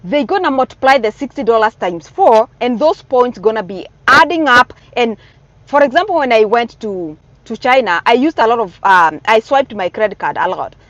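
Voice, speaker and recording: A woman's voice: on the high side, animated, normal speed, fairly bright tone, no echo, dull audio. A middle-aged woman from Kenya with a Kenyan accent, earnestly giving casual advice in a vlog, repeating her warnings for emphasis. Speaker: vlogger giving advice to newcomers.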